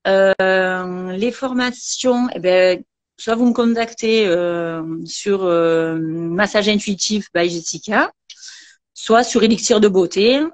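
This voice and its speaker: A woman with a southern French accent chats about her work in a dry, close-sounding room. She talks in a halting way, full of fillers and restarts. Her pitch sits in a middle range. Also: no room sound. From southern France. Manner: hesitant, many fillers and restarts. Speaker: massage trainer and beautician. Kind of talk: casual interview chat.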